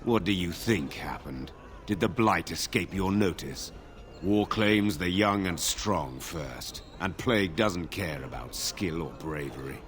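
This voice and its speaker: An actor playing a bitter, weary older nobleman in a fantasy video game, gruff, curt and dismissive. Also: low voice, animated, a little slow, fairly bright tone. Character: bitter, weary older nobleman who lost his sons. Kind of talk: video game dialogue acting.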